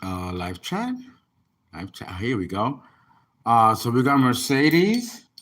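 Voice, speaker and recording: A man's voice: medium pitch, very animated, slow pace, a bit bright. A man, an astrologer and tarot reader, chatting casually on a livestream and rambling as he reads viewer comments aloud.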